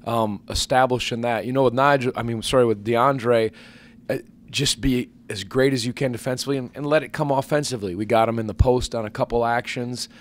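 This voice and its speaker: Earnest coach at a press table. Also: male voice, medium pitch, fairly even intonation. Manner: earnest, measured, many fillers and restarts. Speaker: basketball head coach. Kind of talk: postgame press conference answers. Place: press conference room with sponsor backdrop. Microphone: table microphone.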